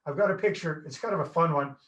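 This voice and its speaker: A man's voice: fairly high pitch, quick pace, fairly bright tone. A Canadian man in his fifties, a guest telling stories in a casual, jokey, self-deprecating way over a video call. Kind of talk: casual interview chat.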